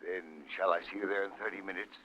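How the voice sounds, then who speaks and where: A man's voice: deep voice, little pitch movement, fast, slight background noise, natural voice. An actor playing an anxious, respectable middle-aged man in an old radio drama, speaking formally and carefully. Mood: anxious, guarded. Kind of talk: 1940s-50s radio drama acting. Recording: muffled vintage radio recording.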